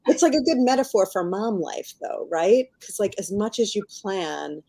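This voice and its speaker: A woman's voice: mid-range voice, lively intonation, normal speed, gravelly, mellow. A middle-aged woman chatting casually as a podcast guest, emphatic and encouraging, often laughing as she talks. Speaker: guest novelist.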